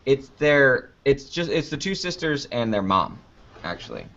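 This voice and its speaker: A man's voice: mid-range voice, big pitch swings, normal speed, vocal fry, fairly bright tone. A man chatting casually as an interview guest, rambling a little as he thinks aloud. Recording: dull lo-fi online call audio.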